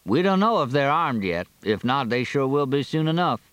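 A man playing a polite, earnest rural deputy with a deliberate, understated manner in a story reading. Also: mid-range voice, sing-song, a bit bright, smooth, no room sound, pitched above the performer's own voice. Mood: droll, concerned. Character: polite, drawling young male rural deputy, earnest and droll.

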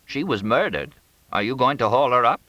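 Male narrator as elderly grieving mother, grim, resigned, bright edge, audiobook character. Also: medium pitch, expressive, moderate pace, dry recording, pitched above the performer's own voice, poor audio. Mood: grim, certain.

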